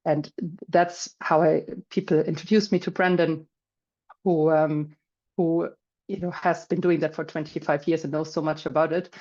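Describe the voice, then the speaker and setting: A woman's voice: low-pitched, little pitch movement, normal speed, darkish tone, highs cut off. A middle-aged woman with a German accent, giving a casual webinar talk with plenty of fillers and restarts over a video call. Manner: chatty, many fillers and restarts. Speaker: webinar speaker. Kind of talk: casual presentation.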